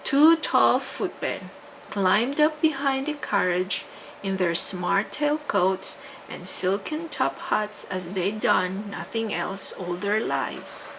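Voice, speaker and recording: An older woman narrating a children's bedtime story with deliberate, dramatic emphasis. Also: mid-range voice, lively intonation, creaky, fairly bright tone, rough recording. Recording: faint background noise.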